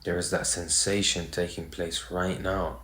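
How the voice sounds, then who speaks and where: A man leading a guided meditation, speaking in short phrases with pauses between them. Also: deep voice, flat delivery, fairly bright tone. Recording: roomy, echoey sound.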